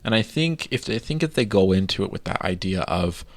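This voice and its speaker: A man's voice: low voice, expressive, brisk, no room sound. A man co-hosting a podcast, chatting casually and enthusiastically with many fillers. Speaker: podcast co-host. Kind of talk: casual chat.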